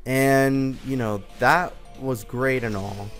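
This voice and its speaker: A man's voice: mid-range voice, steady tone, slow, fairly bright tone. Young man telling stories over music. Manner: informal, hesitant, conversational delivery. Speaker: storyteller, host of a personal story series. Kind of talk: casual, rambling personal storytelling. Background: background music from a game.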